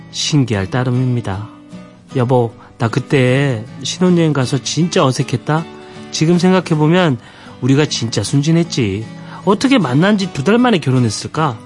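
A man's voice: medium pitch, darkish tone. A man on a radio show, expressively telling a listener's story.

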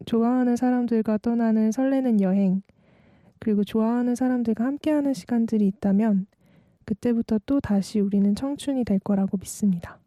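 A twenty-year-old woman hosting a late-night radio segment, reading a calm, reflective script. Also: fairly high pitch, fairly quick, no room sound, clean studio sound.

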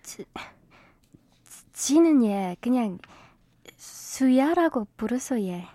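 A woman's voice: a little high, lively intonation, drawn-out words, mellow, dry recording, exaggerated voice. A woman playing a bashful twenty-year-old country girl in a radio drama, hesitant and stammering, with a Gyeongsang accent.

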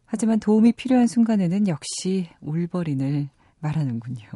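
A woman's voice: on the low side, expressive, a little fast, mellow, no room sound. A woman in her thirties from South Korea hosting a radio program, moving between scripted narration and chatty talk, close on a studio microphone. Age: 30s. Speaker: radio host of a movie program.

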